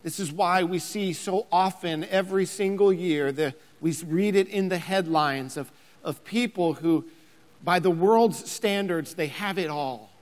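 A man in his thirties preaching a sermon as a pastor, building to emphatic near-shouting. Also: high voice, unhurried, in a large hall. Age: 30s.

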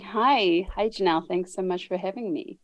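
A woman's voice: mid-range voice, moderate pace, no room sound, muffled recording. A woman in her thirties from New Zealand with a New Zealand accent, chatting as a podcast guest, often laughing and restarting her sentences. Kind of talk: casual interview chat. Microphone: video call.

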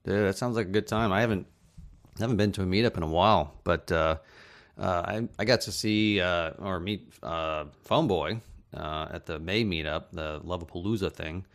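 A man's voice: low-pitched, normal speed. A man appearing as a podcast guest, chatting eagerly and packing his speech with "like" and "uh". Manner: enthusiastic, heavy use of "like" and "uh". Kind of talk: casual podcast chat.

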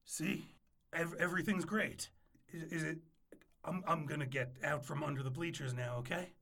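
A man's voice: a little high, steady tone, moderate pace, pushed and bright. A man playing a nervous, pleading ex-boyfriend who stammers and repeats himself in a comic audio drama.

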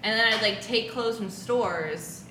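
A woman in casual group chat, loosely thinking out loud in slangy speech, recorded in a noisy, rumbly, echoey room. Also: a little slow, a crowd in the background.

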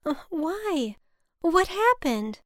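A woman's voice: high voice, animated, moderate pace, no room sound, clean studio sound, voice pushed up. A woman voicing an anxious, dutiful adult daughter who pleads and placates, in an audiobook story.

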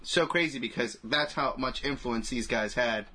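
A man's voice: medium pitch, moderate pace, bright, forceful tone, slightly rough. A man co-hosting a podcast, talking about films in a casual, rambling, informal way. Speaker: podcast co-host.

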